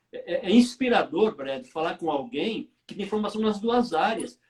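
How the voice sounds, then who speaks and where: A male pastor hosting a live online interview, enthusiastic and quick to chime in. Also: high voice. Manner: enthusiastic and lively, interjects often. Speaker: pastor host. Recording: roomy sound, distant from mic.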